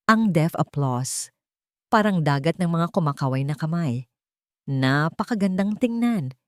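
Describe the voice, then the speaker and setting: A woman storyteller with a slightly low voice narrates a scripted love story in a dramatic style. The recording is very dry, with no room sound. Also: darkish tone, no echo.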